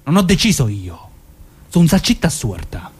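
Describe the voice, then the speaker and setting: An actor playing a condescending, coarse southern Italian mafioso husband in a stage drama, lecturing and justifying himself with menace. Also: male voice, on the high side, huge pitch swings, moderate pace, breathy edge, heavily acted. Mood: menacing, patronising. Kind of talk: stage drama acting in dialect-laced speech.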